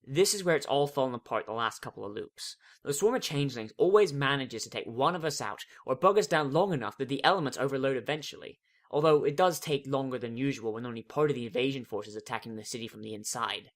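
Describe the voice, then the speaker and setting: A man's voice: medium pitch, lively intonation, fast talker. A man giving a dramatic first-person reading of a fan fiction story.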